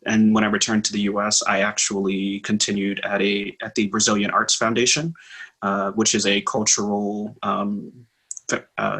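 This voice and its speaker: A middle-aged man co-hosting a casual show over a video call with a headset, telling his story in a thoughtful, measured way with fillers. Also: on the low side, bright, forceful tone, breathy edge, poor audio. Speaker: co-host.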